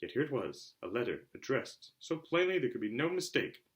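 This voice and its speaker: A man narrating a children's novel aloud in an expressive storytelling style. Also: medium pitch, a little fast. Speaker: audiobook reader.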